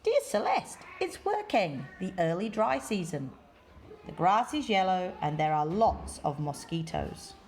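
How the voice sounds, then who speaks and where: Woman reading a children's story, very expressive pitch. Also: unhurried, soft background music. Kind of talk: read-aloud children's story.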